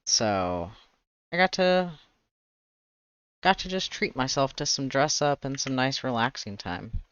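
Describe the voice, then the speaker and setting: A man with a slightly raspy voice chatting casually on a livestream, rambling and pausing to search for words. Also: medium pitch, expressive, fairly bright tone, no room sound, muffled recording. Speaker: streamer, webcomic artist. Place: indoors, livestream.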